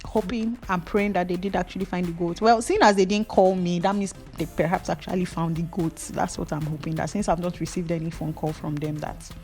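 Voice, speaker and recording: A young Nigerian woman with a Nigerian accent, a hair tutorial vlogger, mixes slow step-by-step instructions with playful, gossipy storytelling. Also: lowish voice, soft background music. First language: Yoruba. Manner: animated, playful, jumps between slow instructions and gossipy asides.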